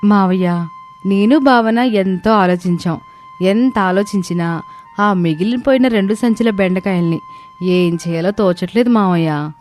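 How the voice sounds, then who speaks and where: A woman's voice: mid-range voice, unhurried, darkish tone, faint music behind, natural voice. A woman voicing an earnest, respectful young daughter-in-law who pleads and explains in a family moral story. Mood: reassuring. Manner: respectful, persuasive. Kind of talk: dramatised moral story dialogue.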